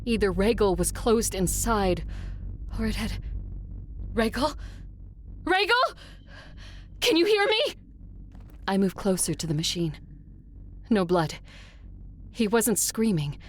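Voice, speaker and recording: A woman's voice: mid-range voice, wildly swooping pitch, slow pace, long pauses, a little hiss or hum. A woman playing an adult female conqueror who narrates her quest in a first-person audio drama. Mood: alarmed. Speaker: narrator and lead character.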